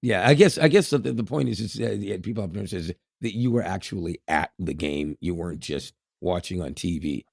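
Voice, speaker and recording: A hoarse man from Canada, co-hosting a casual podcast in a rambling way, with restarts and chuckles. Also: deep voice, a little fast, few pauses, dry recording, clean studio sound. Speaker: podcast co-host.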